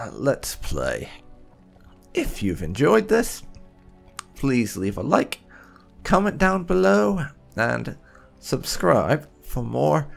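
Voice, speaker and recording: A man hosting a roleplay let's play, commenting in a theatrical, wry, drawn-out way. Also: mid-range voice, vocal fry. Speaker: gaming channel host. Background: faint music.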